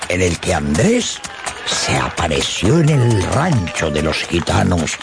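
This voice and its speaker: A man narrating a dramatised audiobook in a theatrical, expressive way, with dramatic pauses and a gravelly edge. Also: low voice, music in the background.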